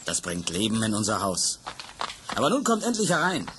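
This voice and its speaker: A calm, kindly father. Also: lowish voice, brisk, background noise. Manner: warm, calm and reassuring. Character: kindly father, actor and theatre director. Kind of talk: vintage German radio play.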